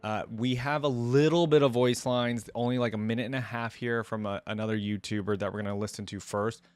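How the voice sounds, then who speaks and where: A man's voice: mid-range voice, fairly even intonation, fairly quick, a little mellow, clean tone, no room sound. A man hosting a reaction video, talking casually to viewers in a rambling, conversational way as he mulls things over.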